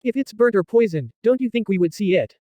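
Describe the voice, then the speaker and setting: A man playing an eager, carefree teenage boy in a visual novel, talking in animated slang. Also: high-pitched, a little fast, no room sound. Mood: pushy, casual.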